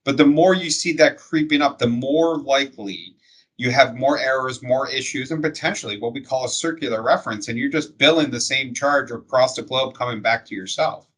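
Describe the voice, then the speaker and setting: A man's voice: mid-range voice, normal speed, fairly bright tone, slightly rough, low-quality recording. A man speaking as an industry expert, explaining things conversationally in plain, step-by-step terms.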